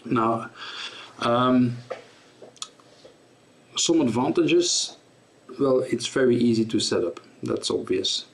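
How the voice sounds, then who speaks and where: A man giving an informal tutorial explanation as a presenter, in a halting, self-correcting way. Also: mid-range voice, creaky, slight background noise. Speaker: presenter of guitar gear tutorial.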